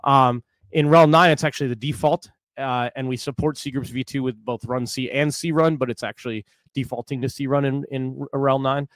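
A man's voice: medium pitch, steady tone, normal speed, hardly any pauses, fairly bright tone, no room sound. A middle-aged man giving an informal technical presentation, conversational and candid, with frequent asides. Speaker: conference presenter, software technologist. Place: indoor room with bookshelves, remote video presentation.

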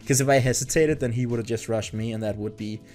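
A young man from Denmark, not a native English speaker, chatting excitedly through casual gameplay commentary. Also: mid-range voice, fairly quick, faint music behind. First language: Danish. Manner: excitable, chatty, trails off and restarts. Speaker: gaming YouTuber.

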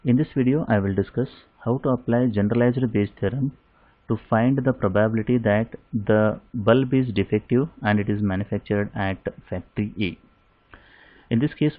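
A man lecturing over slides in a steady explaining manner, often pausing with "uh" fillers. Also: fairly low pitch, dry recording, phone-quality sound.

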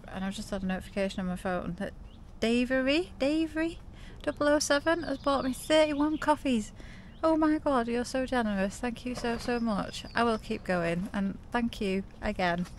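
A woman's voice: on the high side, brisk, hardly any pauses, a little hiss or hum. A woman in her thirties from the UK, chatting casually to camera inside her camper van, a little stuffy with a sore throat. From United Kingdom. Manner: chatty, laughs often, coos at her dogs. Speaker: vlogger. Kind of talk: casual vlog chat to camera.

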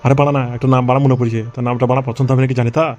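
A hot-tempered cartoon gangster boss and harried father , acted by a man. He blusters and swears at great speed on a fairly level pitch, over music. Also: flat delivery, racing, a little mellow, close to an everyday voice. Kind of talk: amateur comic cartoon dubbing.

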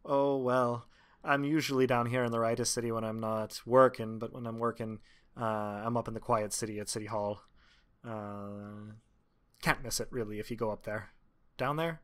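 A man's voice: mid-range voice, fairly even intonation, normal speed, lightly acted. A breezy, flirtatious woman official, played by a man at a tabletop game. She teases in an easy, conversational way. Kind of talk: improvised tabletop game acting.

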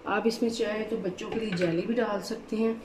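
A woman's voice: mid-range voice, steady tone, a little fast, background noise. A woman hosting a cooking channel, chatting viewers through a recipe step by step and often asking them to look. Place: home kitchen, indoors. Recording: home kitchen with utensil clatter. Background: clattering dishes and utensils.

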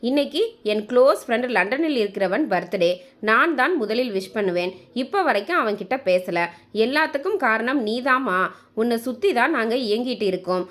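Female storyteller as young adult son, teasing, devoted, earnest, coaxing, higher pitch, family story. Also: lively intonation, a little fast, a bit bright. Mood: earnest, reassuring.

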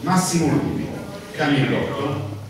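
A man reading out ballot names one by one at a group meeting. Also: measured pace. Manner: reads names in a steady list, lively pitch. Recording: echoey room, far from the mic, crowd behind.

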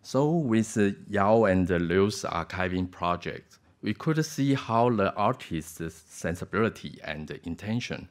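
A man reading a prepared academic paper from a lectern on a theater stage, in a careful, halting delivery. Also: deep voice. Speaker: conference panelist. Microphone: lectern microphone.

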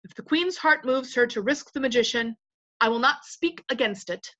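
A woman voicing a gallant little male creature, fearless and formal, with courtly, measured phrasing in a storybook. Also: a little high, animated, bright, forceful tone, voice pushed up. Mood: solemn, gallant.

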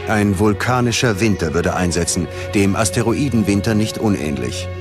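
A man reading scripted documentary narration in a measured, dramatic manner. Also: lowish voice, expressive. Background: background music.

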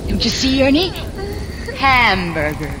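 A woman's voice: on the high side, sing-song. A woman playing a sinister, gloating nightmare plotter in a 1940s radio drama, relishing each phrase. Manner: slow, relishing, with chuckles. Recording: noisy vintage 1940s radio recording.

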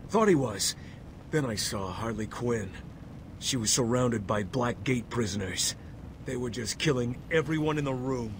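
A man's voice: animated, measured pace. An actor playing a frightened male asylum security guard in a video game. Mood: shaken, urgent. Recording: game audio with rumbling noise.

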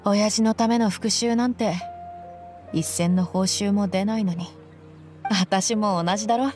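A woman's voice: medium pitch, measured pace, darkish tone, close to an everyday voice. Anime game role: young female desert mercenary woman actor, blunt and tomboyish with a reflective heart, light music underneath. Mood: bitter, regretful. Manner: rough, tomboyish casual speech. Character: tough, frank young female desert mercenary, wistful, loyal. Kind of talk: dramatic anime-style game dialogue. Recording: music behind the voice. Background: background game music.

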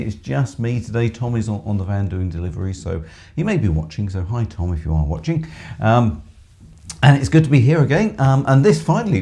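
Hoarse man hosting a live shop stream. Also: medium pitch, warm tone. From England. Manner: chatty, many ums, reads viewer comments aloud. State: hoarse from screaming. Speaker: music shop presenter. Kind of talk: live stream chat reading comments. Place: small music shop studio room with keyboards. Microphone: headset with microphone.

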